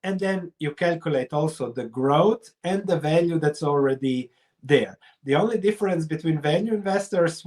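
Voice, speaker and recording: Higher-voiced middle-aged man, Croatian accent. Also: darkish tone. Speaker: investor and researcher, interview guest. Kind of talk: explanatory interview talk.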